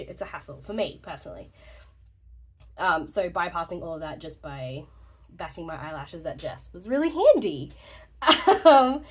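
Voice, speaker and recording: A young woman with a slightly congested voice, rambling casually through a vlog update, chatty and laughing often. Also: mid-range voice. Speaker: journaling YouTuber.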